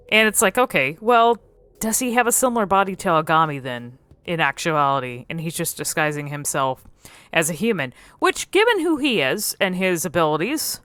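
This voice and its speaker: A woman giving casual, musing commentary on a game, often pausing and repeating words as she thinks. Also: sits low, fairly bright tone, clean tone. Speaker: video creator commentating on a game.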